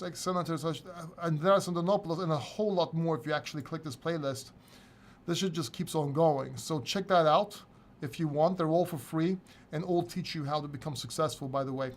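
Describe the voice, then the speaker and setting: A man from Greece hosting a casual live crypto Q&A, reading viewer questions from chat and answering them candidly, sometimes swearing. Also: fairly high pitch. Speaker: crypto channel host.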